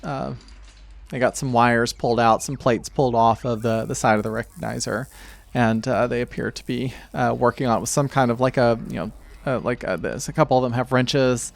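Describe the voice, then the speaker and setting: A man narrating a tabletop game as game master, improvising in a measured, halting way. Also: medium pitch, gravelly. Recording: steady noise behind the voice.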